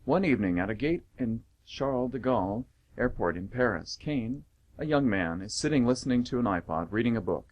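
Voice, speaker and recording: Narrator, male, mid-pitched, lively intonation, scripted stage directions for radio drama. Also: brisk, lo-fi sound.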